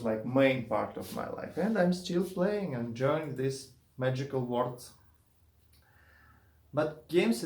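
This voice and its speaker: Halting Russian-accented man, echoey room. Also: mid-range voice. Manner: halting, searching for words. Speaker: gamer and YouTuber. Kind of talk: casual vlog monologue.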